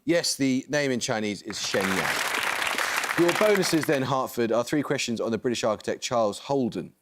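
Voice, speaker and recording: A man hosting a student quiz show, reading questions and giving rulings in a crisp, brisk manner. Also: medium pitch, expressive, over a noisy crowd, heavy background noise. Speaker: quizmaster.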